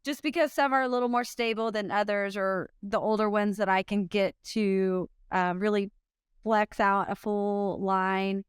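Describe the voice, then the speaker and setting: A woman in her thirties from Oklahoma, a pro disc golfer, answering interview questions in an upbeat, chatty way with frequent ums and quick laughs. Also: mid-range voice, smooth, no echo, clean studio sound.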